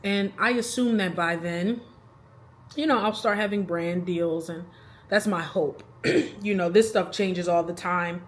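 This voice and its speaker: A woman's voice: lowish voice, unhurried. A woman in her thirties talking casually to the camera in a rambling, chatty vlog, laughing between thoughts. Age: 30s. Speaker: vlogger.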